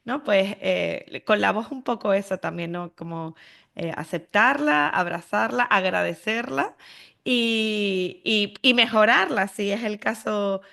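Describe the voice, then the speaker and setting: A woman podcast host from Spain with a creaky, gravelly edge to a mid-pitched voice. She speaks casually and reflectively, with a Castilian Spanish accent, often checking that her guest agrees. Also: takes it slowly, sharp tone. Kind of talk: casual conversational interview.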